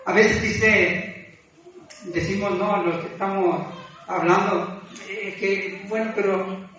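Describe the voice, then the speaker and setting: High-voiced male preacher in an echoey room. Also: fairly even intonation, sharp tone, a little hiss or hum. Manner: halting, self-deprecating, repeats phrases. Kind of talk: informal sermon, exhorting and confessing. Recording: echoey room, distant mic, muffled, music behind.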